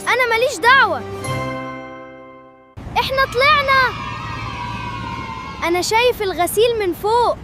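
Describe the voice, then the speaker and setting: Very high-voiced Egyptian cartoon kid. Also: female voice, moderate pace, pauses often. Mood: panicky. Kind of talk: comic cartoon dialogue. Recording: music behind the voice.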